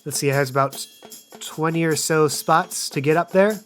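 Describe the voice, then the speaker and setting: Male game master, improvised narration, casual, playful, mid-to-high voice, faint music bed. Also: normal speed.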